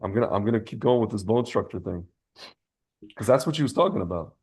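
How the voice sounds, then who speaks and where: A man's voice: lowish voice, lively intonation, fast talker, a little mellow, slightly rough. A man chatting casually and giving opinions on a podcast, rambling in slangy language and often repeating or breaking off his phrases. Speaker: podcast host.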